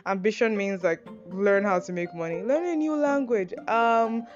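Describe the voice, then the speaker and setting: A woman's voice: medium pitch, normal speed. A young Nigerian woman with a Nigerian accent, rambling through an advice list in a self-deprecating, casual monologue full of fillers and swearing. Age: young adult. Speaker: podcast host. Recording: dull lo-fi sound with music behind.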